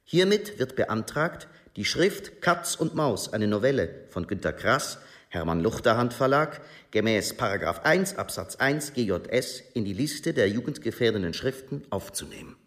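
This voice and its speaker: A man's voice: medium pitch, sing-song, moderate pace, few pauses, a bit bright. A man formally reading out an official document in a precise, bureaucratic manner.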